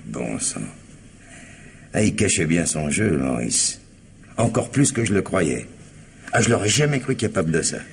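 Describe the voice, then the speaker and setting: An actor playing a gruff, streetwise and indignant man in a 1950s-style French radio crime drama, speaking in emphatic slang. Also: low-pitched, big pitch swings, a little slow, long pauses, exaggerated voice. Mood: amazed, musing. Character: gruff male witness with a streetwise, indignant temper. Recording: noisy old radio drama recording.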